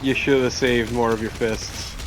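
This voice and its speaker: A man's voice: medium pitch, flat delivery, moderate pace, background noise. A man chatting casually as a teasing, wry co-host over gameplay. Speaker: let's play co-host. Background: video game music.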